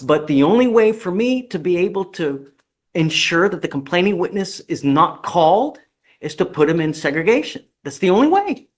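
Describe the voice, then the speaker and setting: A male judge speaking from the bench in a courtroom. His voice sits a little high, and he talks in firm, curt statements. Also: big pitch swings, moderate pace. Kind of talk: ruling from the bench.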